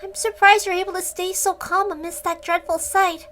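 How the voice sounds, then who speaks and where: Woman putting on a high, fretful, timid ghost girl. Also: fairly even intonation, normal speed, a bit bright, slight background noise. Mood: scolding. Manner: high-pitched, fretful, stammering. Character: timid, easily scared female ghost companion, scolding.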